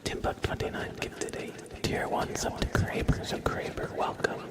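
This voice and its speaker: A man hosting an ASMR livestream, speaking softly and soothingly in a breathy whisper to his viewers. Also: normal speed. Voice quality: breathy whisper, often unvoiced.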